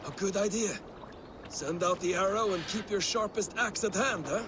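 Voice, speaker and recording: Man as a brash, very high-pitched Viking warrior. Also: moderate pace, natural voice. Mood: grudging. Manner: boastful, scoffing. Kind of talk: video game cutscene acting. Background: water and boat noise.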